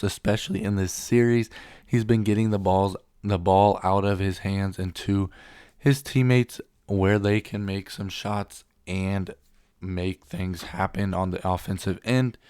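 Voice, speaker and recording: A man's voice: deep voice, steady tone, unhurried, a little mellow, clean tone, dry recording, clean studio sound. A young man getting over a cold, casually running through sports betting picks and reading stats off the screen with a few ums.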